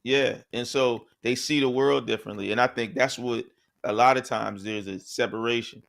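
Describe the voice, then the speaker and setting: A man's voice: mid-range voice, fairly even intonation, moderate pace, gravelly, a bit bright. A man co-hosting a podcast, talking in a casual, conversational way and often checking in with "right?". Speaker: podcast co-host. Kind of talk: casual discussion.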